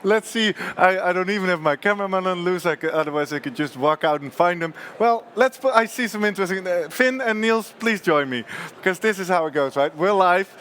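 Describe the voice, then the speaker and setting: Chatty, laughing conference host, higher voice. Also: male voice, brisk, hardly any pauses, fairly bright tone, smooth. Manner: chatty, laughs often, talks in restarts. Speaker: host of a live conference studio show. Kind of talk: loose, joking live interview. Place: conference TV studio.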